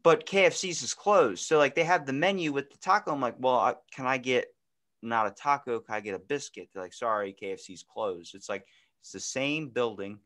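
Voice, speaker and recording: American guy w a medium pitch voice riffing on a podcast, jokey little rants. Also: fairly quick, fairly bright tone. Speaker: podcast participant. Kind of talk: casual chat. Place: remote video call from a room indoors.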